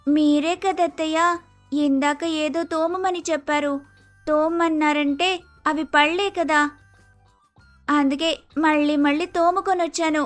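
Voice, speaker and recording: A woman's voice: high-pitched, steady tone, a little slow, long pauses, faint music behind. A woman playing a polite, naive young daughter-in-law with an Andhra Telugu accent in a story cartoon, hesitant and easily confused. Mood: polite, innocent. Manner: deferential, hesitant, innocent.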